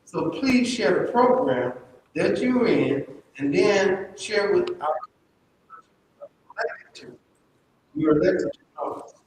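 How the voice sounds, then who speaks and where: A man moderating a public panel, deliberate and instructive as he questions and prompts a guest. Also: high voice, big pitch swings. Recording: cavernous echoey hall, distant mic, faint noise.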